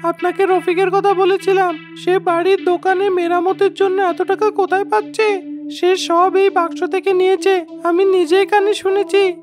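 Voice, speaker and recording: This is a cartoon henchman, sly and nosy. His voice sits extremely high and moves very little in pitch. He comes across as a servile village schemer. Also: flat delivery, normal speed, over music. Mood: servile, eager. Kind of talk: cartoon character dialogue.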